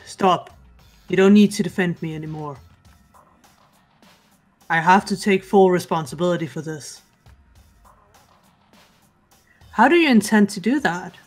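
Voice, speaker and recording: A woman voicing a huge, stoic, honorable female martial artist in a visual novel game, speaking in a solemn, measured way. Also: lowish voice, soft background music. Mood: solemn resolve.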